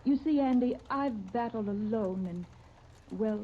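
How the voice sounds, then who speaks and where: A woman's voice: on the high side, slow. An actress playing a hospitable, coyly wistful lady neighbor in a 1930s radio serial, speaking in a genteel, coaxing manner. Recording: crackly, tinny 1939 radio disc recording.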